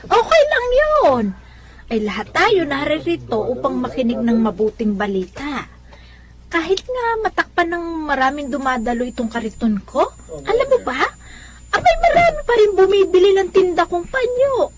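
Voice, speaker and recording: A woman playing a friendly, chatty street vendor of handkerchiefs in a religious radio drama, talking in an animated way. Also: high-pitched, moderate pace, rough recording. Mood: friendly, upbeat.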